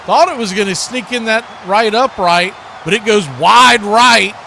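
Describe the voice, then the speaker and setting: A man's voice: high-pitched, a little slow, bright, forceful tone. A man doing live play-by-play football commentary in a conversational way, mixing calls with his own opinions. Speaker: play-by-play announcer for a fan watch-party stream. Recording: clearly noisy live stream audio.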